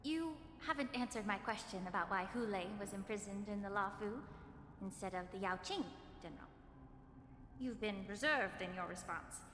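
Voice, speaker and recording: A woman playing a poised, coolly resolute game character, speaking formally and deliberately in an echoing space. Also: medium pitch, fairly bright tone, a little hiss or hum, close to an everyday voice. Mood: probing, thoughtful. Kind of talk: scripted video game voice acting. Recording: echoey hall reverb over clear music.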